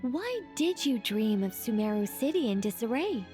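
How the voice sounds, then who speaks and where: A high, girlish-pitched angel of great age, chatty and self-assured. She speaks in a playful, knowing game-character style over faint music. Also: big pitch swings, moderate pace. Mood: reassuring, knowing. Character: ageless, playful, self-assured female angel with easy airs. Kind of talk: video game voice acting.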